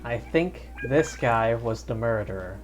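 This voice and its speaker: A man's voice: mid-range voice, lively intonation, measured pace. Casual man streaming a video game. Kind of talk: casual live game commentary. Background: game music.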